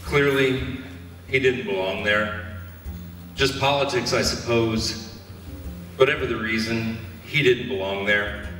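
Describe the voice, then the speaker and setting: A distant, reverberant hall carries a man acting as a remorseful Roman soldier. He confesses earnestly and haltingly in a bright, forceful voice, as in an Easter stage play. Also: medium pitch. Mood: shaken, solemn. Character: shaken, remorseful Roman guard officer. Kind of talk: church stage Easter drama monologue. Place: church hall stage performance. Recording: echoey hall, distant mic, faint music.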